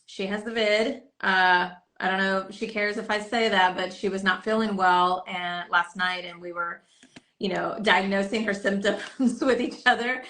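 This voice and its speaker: A woman hosts a live online chat as a coach, her voice a little gravelly and fried at the edges. She rambles along casually with lots of ums and restarts, speaking into a desktop podcast mic at home. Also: mid-range voice, steady tone, moderate pace, pushed and bright. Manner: chatty, rambling with fillers. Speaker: host and coach. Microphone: desktop podcast microphone.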